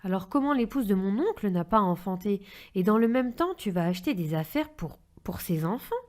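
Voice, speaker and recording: A woman's voice: mid-range voice, animated, a little fast, a little mellow, natural voice. A woman voicing an imaginative, eager young boy full of questions in a French children's cartoon. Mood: confused questioning.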